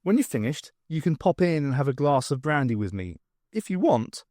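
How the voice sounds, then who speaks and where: A man's voice: a little high, big pitch swings, normal speed, a little mellow, no room sound, clean studio sound. A man voicing a breezy, flirtatious woman space traveller in an audiobook, with a teasing way of talking. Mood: inviting. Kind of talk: audiobook character voice.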